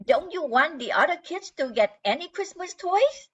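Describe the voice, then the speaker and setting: A woman's voice: extremely high pitch, very animated, moderate pace. A woman playing a pushy elf henchman in an amateur radio play, in slightly dull sound. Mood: pushy, dismissive.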